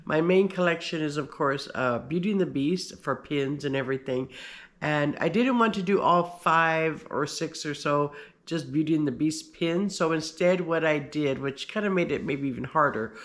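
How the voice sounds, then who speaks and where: A man's voice: fairly high pitch, moderate pace, fairly bright tone. A man with a slight cold and a slightly nasal voice, talking through his collection in a casual show-and-tell, rambling with many fillers and restarts. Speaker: pin collector.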